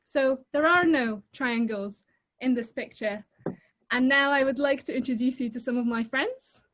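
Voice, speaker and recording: A young woman from Egypt speaks over a video call in a bright, high voice. She talks to the audience in a lively, chatty and informal way, and laughs easily. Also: normal speed. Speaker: guest speaker. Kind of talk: presentation talk on a video call.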